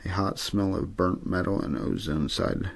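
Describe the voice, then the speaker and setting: A man reads a novel aloud for an ASMR audience. His voice is low and full of heavy, crackling fry, with a husky grain. He keeps the delivery plain and untheatrical, like an amateur narrator helping someone drift off. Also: moderate pace. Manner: untheatrical, low-key delivery with occasional stumbles. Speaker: amateur audiobook reader. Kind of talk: ASMR story reading, scripted narration.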